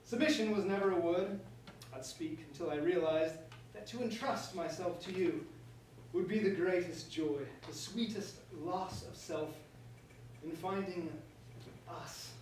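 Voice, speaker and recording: A man's voice: high-pitched, unhurried, a little mellow. A man playing an ardent, courtly prince in a staged verse comedy, declaiming theatrically. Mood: tender, ardent. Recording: echoey hall, far mic, faint noise.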